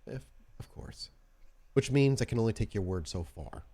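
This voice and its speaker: A man playing a blunt, wary female vampire negotiator in a tabletop game, speaking in a plain, halting, guarded way. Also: lowish voice, lively intonation, mellow. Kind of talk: tabletop roleplay in character.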